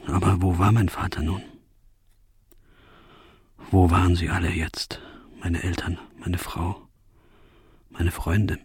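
An actor playing a haunted, guilt-ridden killer in a radio drama monologue, brooding and measured, dropping into breathy near-whispers. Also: male voice, deep voice, little pitch movement, full character voice. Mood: haunted, desolate.

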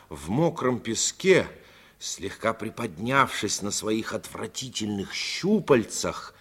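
A man narrating a 1950s radio drama as a storyteller, phrasing expressively and deliberately with dramatic pauses. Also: on the low side, a bit bright, slightly rough, heavily acted. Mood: suspenseful. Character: male storyteller fond of the coast and its people. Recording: 1950s radio recording, faint music behind.

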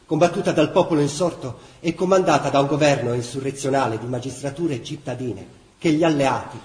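An actor playing a solemn chronicler, reciting wartime history for radio theatre. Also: male voice, medium pitch, a little mellow, lightly acted. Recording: roomy echo.

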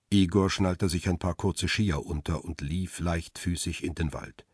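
Very deep, husky crime narrator. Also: male voice, no room sound, clean studio sound. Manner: measured, descriptive storytelling. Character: tense hunted photographer telling his own story. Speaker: narrator and protagonist. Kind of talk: radio crime drama narration.

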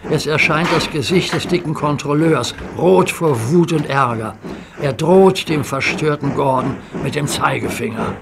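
A man narrating a children's audio story in a gently expressive, storybook way. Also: a little high, unhurried, vocal fry, background noise.

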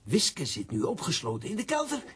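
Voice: mid-range voice, huge pitch swings, brisk, a crowd in the background. An actor playing a talking coffee pot, a playful, cutesy and mischievous little friend in a Dutch-language children's radio play. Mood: gleeful, mischievous.

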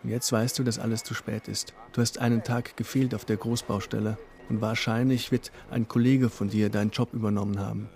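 A man's voice: on the low side, little pitch movement, brisk, gravelly, hardly any pauses, a little mellow. A man telling a radio play story in the second person, in flowing descriptive narration. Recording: faint music under the voice. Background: faint instrumental music.

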